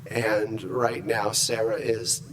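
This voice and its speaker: A man voicing a logical, disapproving shipboard AI in a measured, formal, clinical manner at a game table. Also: on the low side, flat delivery, a crowd in the background. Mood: concerned, formal.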